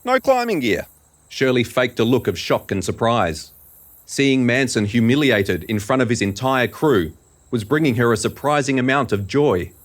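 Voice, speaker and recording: A man's voice: mid-range voice, moderate pace. A man narrating scripted fiction for an audio drama in a dramatic storytelling style.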